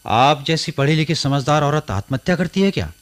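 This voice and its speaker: A man's voice: a little high, lively intonation, fairly quick, natural voice. An actor playing a stubborn, educated younger man in a radio play, reasoning and arguing. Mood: concerned, reasoning. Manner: argumentative, reasoning. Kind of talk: Hindi radio play acting.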